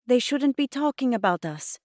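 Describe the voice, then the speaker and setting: A woman's voice: fairly high pitch, expressive, moderate pace, dry recording, clean studio sound, pitched above the performer's own voice, lightly acted. A woman voicing a reproachful, weary and anxious mother in dramatised audiobook dialogue, scolding and urging. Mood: disappointed.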